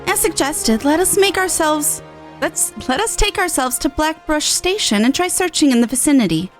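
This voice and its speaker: A woman's voice: high-pitched, lively intonation, normal speed, faint music behind, voice pushed up. A woman voicing an earnest, proper young woman in a fantasy game, formal and polite.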